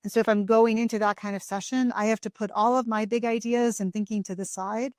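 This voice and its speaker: A woman's voice: on the high side, fairly even intonation, fairly quick, darkish tone, dry recording, studio quality. Reflective woman podcast host. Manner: reflective, speaks thoughtfully from personal experience. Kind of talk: conversational interview.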